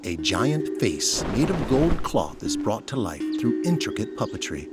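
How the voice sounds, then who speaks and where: A man's voice: lowish voice, sing-song, unhurried. A man narrating an audio drama in a scripted, dramatic style. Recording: loud music behind the voice.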